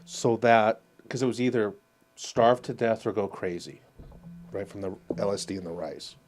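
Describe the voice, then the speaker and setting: Male co-host, lowish voice, meandering anecdotes. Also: steady tone, normal speed, a little mellow. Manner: rambling storyteller, hesitant. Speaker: podcast co-host. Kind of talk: casual chat.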